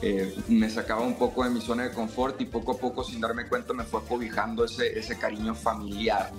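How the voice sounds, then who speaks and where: A young man from Sonora, a singer, reflecting in a casual interview over a video call, halting often and restarting his sentences. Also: lowish voice, fairly even intonation, soft background music. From Sonora, Mexico. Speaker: singer and songwriter, interview guest. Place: home room.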